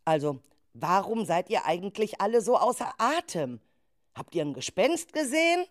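A woman's voice: mid-range voice, big pitch swings, normal speed, close to an everyday voice. A woman playing a worried, stern children's group leader in a children's radio play, with emphatic, exasperated delivery. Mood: skeptical.

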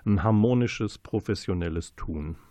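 A man's voice: fairly low pitch, normal speed, dark tone, no echo. A man hosting an interview, asking questions in a conversational, playful and wordy way. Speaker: interviewer, radio host.

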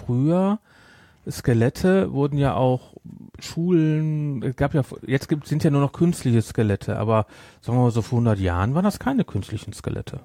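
A man's voice: medium pitch, lively intonation, normal speed, dark tone, no room sound. Casual male podcaster with a dry, close sound. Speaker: podcast co-host. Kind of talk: casual chat.

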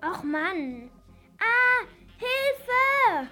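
A woman's voice: extremely high pitch, very animated, very slow, bright, forceful tone, full character voice. A female performer playing a messy, lazy, whiny youngster in a children's radio play, exclaiming and complaining. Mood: alarmed, disgusted.

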